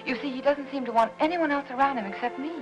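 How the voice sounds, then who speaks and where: An actress playing a gentle, anxious housewife in a 1950s Hollywood film, polite and hesitant, with an American accent. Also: female voice, high-pitched, quick pace, lo-fi sound, natural voice. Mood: worried, hesitant. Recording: old film soundtrack with music.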